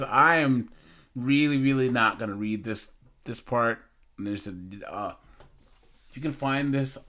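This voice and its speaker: Casual male reaction host, slight stutter. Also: mid-range voice. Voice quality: occasional stutter when excited.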